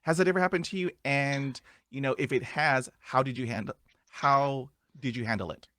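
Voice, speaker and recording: A man's voice: mid-range voice, normal speed, fairly bright tone, no echo, clean studio sound. A middle-aged man who stutters, hosting a podcast, chatting with many fillers. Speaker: podcast host. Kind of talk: casual interview talk.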